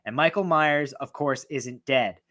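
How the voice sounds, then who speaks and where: A man with a voice going hoarse, excitedly and profanely ranking horror films in a rambling, casual way. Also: medium pitch, unhurried. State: losing his voice, hoarse. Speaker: horror film reviewer. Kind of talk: casual film ranking talk.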